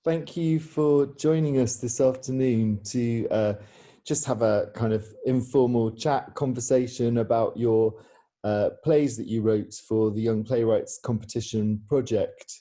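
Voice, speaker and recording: A man's voice: medium pitch, fairly even intonation, a little slow, dark tone. A man hosting an informal question-and-answer chat over a video call, phrasing his questions hesitantly. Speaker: theatre director hosting a Q&A. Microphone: video call microphone.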